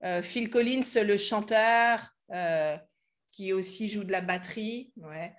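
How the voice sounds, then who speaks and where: A woman's voice: medium pitch, slow pace. A woman hosting a casual interview, chatty and full of fillers like "hein" and "voilà". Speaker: host of a French learning club.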